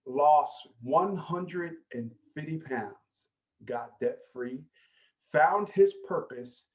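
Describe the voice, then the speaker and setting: A male podcast host giving an energetic, hype-style introduction to his guest. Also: fairly high pitch. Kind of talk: enthusiastic show intro and interview.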